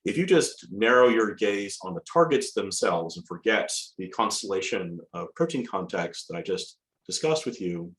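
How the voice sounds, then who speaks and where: A man with a cold, sounding raspy and a bit hoarse, giving a measured academic lecture over a video call, with frequent ums and restarts. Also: on the low side, big pitch swings, fairly bright tone. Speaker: guest lecturer.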